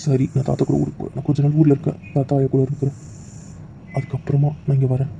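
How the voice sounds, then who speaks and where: An actor playing a heartbroken young husband whose wife has rejected him, pleading and despairing, on a dull lo-fi recording with faint noise. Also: male voice, medium pitch, monotone, quick pace, creaky, mellow, close to an everyday voice. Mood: subdued. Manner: pleading, despairing, voice breaking into raised anguish. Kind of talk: emotional drama acting.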